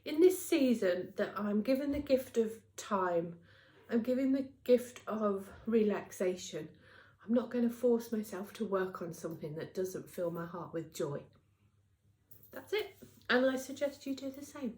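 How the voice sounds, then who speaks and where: A woman's voice: medium pitch, animated, measured pace, a little mellow. This is a middle-aged British woman hosting a casual craft vlog, with noticeable room echo around her. She sounds congested and a little nasal from a cold. She talks in a chatty, wandering way, repeating words for emphasis and tagging little questions onto her sentences. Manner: chatty, rambling, emphatic repetition, tag questions. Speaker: knitting podcast host. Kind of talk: casual chat to camera. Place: indoors at a craft workplace. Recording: roomy, echoing indoor sound.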